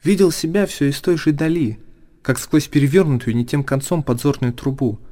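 A man giving a dramatic literary narration for radio, solemn and deliberate, breaking the text into short phrases. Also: a little high, warm tone. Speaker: narrator reading literary prose.

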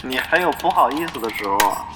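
A woman's voice: a bass voice, lively intonation, fast talker, echoey room, long reverb tail, background noise. A woman playing an evasive, grudging character from an online gaming guild in an audio drama. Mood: wry, curt. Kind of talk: Chinese audio drama acting.

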